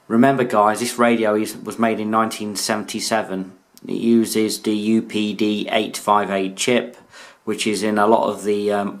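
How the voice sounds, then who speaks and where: A British man with a slightly low voice talks through a piece of hobby gear. His delivery is casual and halting, as in a homemade showcase video recorded indoors. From United Kingdom. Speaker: radio hobbyist presenting a video. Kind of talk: casual product showcase narration. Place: indoors in a room.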